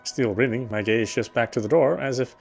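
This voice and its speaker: A man's voice: medium pitch, a little fast, gravelly, darkish tone, slightly rough, soft background music. A man playing a game and reading its first-person story narration aloud in a careful way, now and then stumbling and correcting himself. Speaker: game player reading a visual novel aloud.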